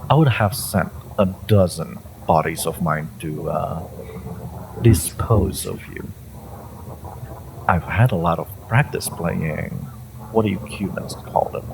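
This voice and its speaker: Man acting as ancient inhuman overseer, gravelly, slow, possessive, condescending menace, audio roleplay, noisy background. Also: low-pitched, heavily acted. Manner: theatrical, condescending, halting with drawn-out menace and chuckles. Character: ancient possessive hive-mind entity, amused, menacing protector of humanity. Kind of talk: scripted audio roleplay addressed to the listener.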